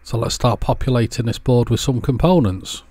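A man's voice: medium pitch, normal speed, mellow, no echo. A man presenting a casual how-to in a plain, understated, step-by-step way. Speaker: presenter of an electronics repair channel.

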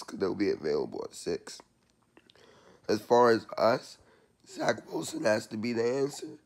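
A young man with a hoarse, worn-out voice giving a casual, slangy vlog update from a small room, recorded close on a laptop webcam. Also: mid-range voice, darkish tone. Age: young adult. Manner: loose and chatty, slangy. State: hoarse, losing his voice. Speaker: sports channel vlogger.

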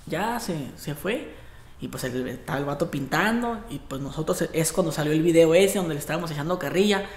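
A man in his thirties with a Mexican accent, telling a story in a casual vlog, profane and animated, acting out what others said. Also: on the high side, brisk, echoey room. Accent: Mexican Spanish. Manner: animated, profane, acts out quoted voices with gestures. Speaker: barber and vlogger. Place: indoor barbershop with brick wall. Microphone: camera at desk distance.

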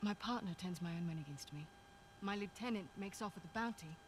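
A stern and proud female mercenary boss from an adventure game, acted by a woman. Her delivery is dry, terse and matter-of-fact. Ambience and vehicle noise are clearly audible behind her. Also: mid-range voice, fairly quick, lightly acted. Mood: stern, bitter. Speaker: video game voice actor. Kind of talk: naturalistic game dialogue.

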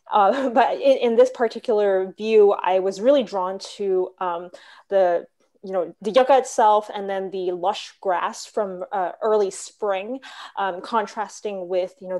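A woman from Hong Kong gives a slide talk about her paintings over a laptop video call. Her voice is soft and mellow at a middle pitch. She talks at a measured pace, with many "um"s and "uh"s. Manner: measured, with frequent "um" and "uh". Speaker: artist presenting her paintings. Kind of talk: online slide presentation. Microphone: laptop webcam video call.

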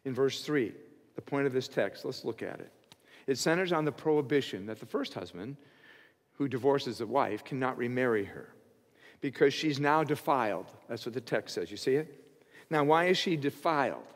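An older man in his sixties preaches from a pulpit with an earnest, teaching manner. His voice sits at a middle pitch and climbs when he stresses a point. A long reverb from the church hall trails each phrase. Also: expressive, normal speed. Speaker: pastor. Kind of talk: expository sermon. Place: church stage behind a pulpit.

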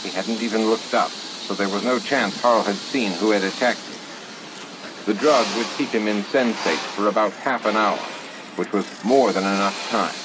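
A man's voice: lowish voice, moderate pace, background noise. A man reading scripted fiction as an audiobook narrator. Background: music and sound effects.

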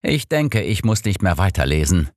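A man's voice: low-pitched, sing-song, moderate pace, a little mellow, dry recording, studio quality, pitched below the performer's own voice. A man voicing an old master detective in an audiobook, earnest, formal and troubled. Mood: earnest, regretful. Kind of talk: audiobook character reading.

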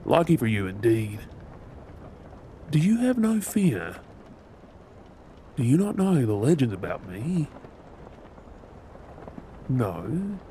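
A man playing a gruff, menacing, sardonic pirate captain in a dramatic audio role play. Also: mid-range voice, sing-song, slow, pauses often, mellow. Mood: sardonic, threatening. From Australia. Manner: commanding, swaggering, sardonic. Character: gruff, menacing male pirate captain, secretly soft-hearted. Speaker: ASMR role-play performer. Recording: sound effects and ambient noise behind. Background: ship ambience sound effects.

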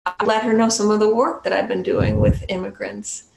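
A woman's voice: medium pitch, sing-song, vocal fry, reverberant, background noise. A woman from New Hampshire who is a Zen priest and meditation teacher, talking thoughtfully about her own story on a video call from a small home room. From New Hampshire, USA. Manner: thoughtful, unhurried, with small restarts and soft laughs. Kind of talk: reflective personal sharing on a video call. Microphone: webcam on a video call.

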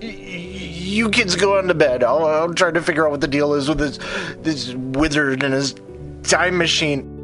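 A man playing a befuddled children's bedtime storyteller in a halting, mock storybook style. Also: fairly high pitch, fairly even intonation, over music, close to an everyday voice. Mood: confused.

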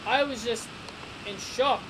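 A young man giving a casual wrestling review from his bedroom, excitable and repeating himself with stammering restarts, recorded on a desk webcam. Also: extremely high pitch, takes it slowly. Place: small bedroom. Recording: noisy home webcam recording.

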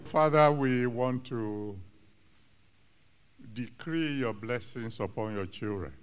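A man's voice: mid-range voice, takes it slowly, clear, resonant tone. An older man with a Nigerian accent, a pastor pronouncing blessings in rhythmic, repeated declarations into a handheld mic on a large studio stage. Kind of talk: sermon blessing.

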